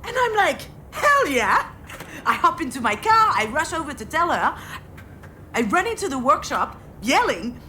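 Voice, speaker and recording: A woman's voice: high voice, sing-song, moderate pace, bright, forceful tone, a little hiss or hum, exaggerated voice. An actress playing an overwhelmed, sardonic woman in her thirties in a narrative game, hesitant and naturalistic. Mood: animated, exasperated. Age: 30s. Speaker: protagonist of a visual novel. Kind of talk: naturalistic dramatic game voice acting, dialogue and inner monologue.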